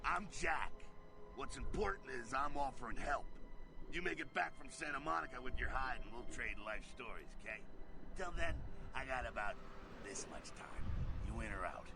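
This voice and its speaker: A man playing a jovial, streetwise vampire mentor in a video game, with gravelly voice and familiar, folksy slang. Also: medium pitch, moderate pace, music in the background, lightly acted. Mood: brisk, coaxing. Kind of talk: animated video game voice acting.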